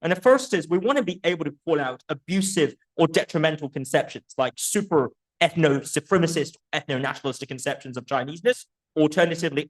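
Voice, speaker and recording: A man's voice: fairly high pitch, low-quality recording. A man presenting an academic book talk in an articulate, erudite manner, posing rhetorical questions and often checking in with the listeners.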